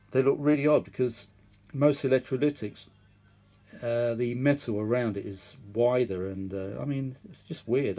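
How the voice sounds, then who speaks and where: Male, chatty hobbyist repairer, mid-pitched, casual hands-on commentary, matey and rambling. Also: warm tone. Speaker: vintage audio repair hobbyist and video host. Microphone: phone held or propped at the workbench.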